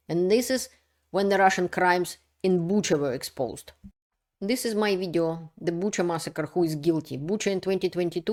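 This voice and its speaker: A woman's voice: medium pitch, a little mellow. A woman giving earnest, insistent political commentary in non-native English, with frequent restarts. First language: not Ukrainian. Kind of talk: unscripted commentary to camera.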